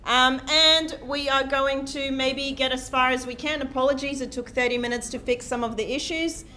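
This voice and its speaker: A woman lecturing in a big hall, her voice pitched a little high with a sharp, bright edge. She projects loudly and talks in a chatty, self-deprecating way, full of "um"s. Her sound drifts as she walks away from the lecture mic. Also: fairly even intonation, moderate pace, slight background noise. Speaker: university lecturer. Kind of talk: live lecture to a large class. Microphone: lecture microphone, sometimes off-mic while walking.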